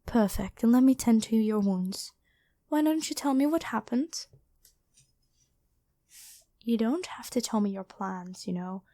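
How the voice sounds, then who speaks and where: A woman playing a gentle, dutiful angel medic in an ASMR roleplay, speaking soothingly and caringly to one listener. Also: a little high, animated, a little slow, long pauses. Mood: tender, concerned.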